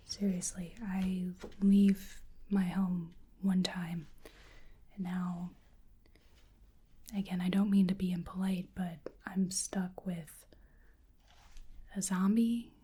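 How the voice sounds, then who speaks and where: A woman with a soft, breathy, often whispery voice doing ASMR roleplay as a bewildered, polite researcher, speaking hesitantly to the listener. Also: lowish voice, little pitch movement, slow pace, long pauses, slight background noise. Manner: hesitant, murmuring to an unseen listener. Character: bewildered, polite female researcher examining a creature.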